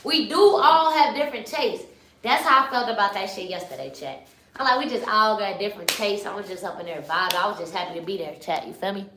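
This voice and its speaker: A woman's voice: mid-range voice, fast, roomy sound, background noise. A young woman from Chicago in her twenties, hosting a live stream in loose, slangy casual chat that keeps turning to the chat. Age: 20s. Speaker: livestream host.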